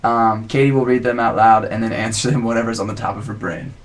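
A man's voice: fairly low pitch, fairly even intonation, quick pace, low-quality recording. A young man in his twenties, chatting casually and reading viewer comments aloud on a live webcam stream from a home studio. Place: home music studio, indoors. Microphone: webcam mic.

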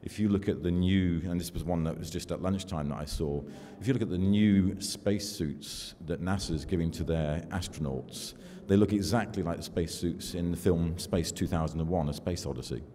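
An older man from the United Kingdom giving a conference keynote at a podium in a large hall, measured and self-deprecating, with fillers between thoughts. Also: sits low, dark tone. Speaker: keynote speaker. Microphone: podium microphone. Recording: long hall reverb, faint room noise.

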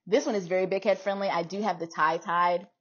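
Low-pitched American woman chatting casually. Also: steady tone, fairly quick, husky, muffled recording. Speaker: vlogger showing a hat collection. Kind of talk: casual chatty product try-on. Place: small room indoors at home.

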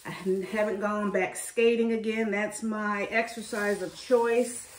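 Slow-talking woman in an echoey kitchen. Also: mid-range voice, flat delivery, recorded from a distance, slight background noise. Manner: homey and chatty, talking to viewers as she works. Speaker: cooking channel host. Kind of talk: casual meal-prep chat while cooking. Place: home kitchen. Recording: echoey room, mic set back from her.

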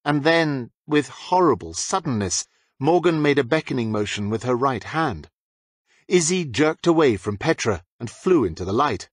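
A man's voice: mid-range voice, animated, normal speed, dry recording. A man reading a dramatic scripted narration as an audiobook narrator.

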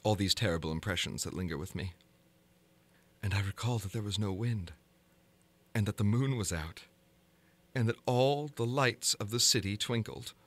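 A man giving a theatrical, deliberate dramatic reading of gothic horror fiction, moving between hushed and intense delivery. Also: fairly low pitch. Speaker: narrator reading a horror story.

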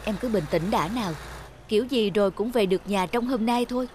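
A man's voice: high voice, fairly quick, darkish tone, a little hiss or hum, natural voice. A man voicing a mild young husband and father in a cartoon, polite, soothing and deferential. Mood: patient. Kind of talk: cartoon voice acting.

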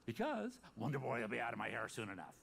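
A man voicing a sardonic, short-tempered underworld god in a video game, with gravelly, theatrical wisecracking patter. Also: mid-range voice, wildly swooping pitch, quick pace, a bit bright, heavily acted. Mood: smug, dismissive. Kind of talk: animated video game cutscene acting.

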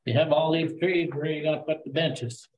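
Brief: canadian councillor, blunt and folksy, heard from off mic in a roomy space. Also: male voice, on the high side, steady tone, fairly quick, highs cut off. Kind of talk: council debate.

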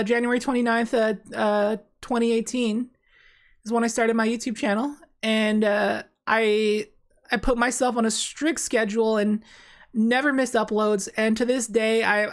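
A young woman talking casually while playing a card game on stream, rambling with many fillers and restarts, in a small dim room. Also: on the high side. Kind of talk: casual gameplay commentary.

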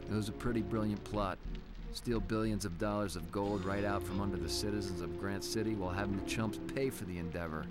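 An actor playing a vengeful, sardonic American fugitive cop in a noir video game, narrating and confronting enemies. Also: male voice, fairly low pitch, steady tone, a little fast, over loud music, lightly acted. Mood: grim, brooding. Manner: hard-boiled, sardonic. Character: vengeful hard-boiled fugitive cop hero, tough and sardonic. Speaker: protagonist and narrator.

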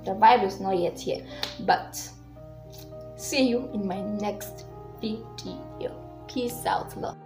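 A woman's voice: mid-range voice, takes it slowly, long pauses, music in the background. Young woman, Ghanaian accent, easygoing rambling vlogger; roomy webcam sound. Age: young adult. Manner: chatty, giggly, loose and rambling. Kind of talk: casual vlog talk to camera. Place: small room indoors.

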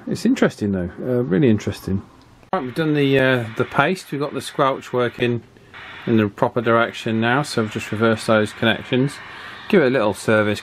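A man's voice: mid-range voice, a little hiss or hum. A middle-aged man giving an informal hands-on repair commentary, hesitant and thinking aloud as he goes. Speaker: radio repair technician and presenter. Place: small indoor workshop, at the bench.

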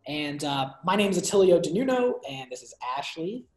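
A man's voice: high voice, fairly quick. A young man co-hosting a book review over a video call, talking casually with many fillers and restarts. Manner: animated hand gestures. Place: bright room at home. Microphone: video call laptop. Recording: roomy echo.

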